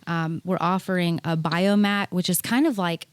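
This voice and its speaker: A woman's voice: on the low side, steady tone, normal speed, clean tone, no room sound. A woman chatting informally as an interview guest, explaining things as a gym owner in a casual way.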